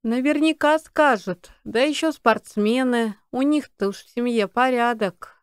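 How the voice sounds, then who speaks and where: A man's voice: extremely high pitch, measured pace, clean tone, no room sound, studio quality, voice pushed up. A man voicing a stern, worried mother, reproachful and earnest, in an audiobook. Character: stern, worried mother, a law-minded wife.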